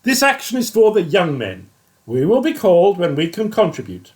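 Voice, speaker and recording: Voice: medium pitch, huge pitch swings, moderate pace, darkish tone, pitched above the performer's own voice. A narrator voicing an older male detective, grave and commanding.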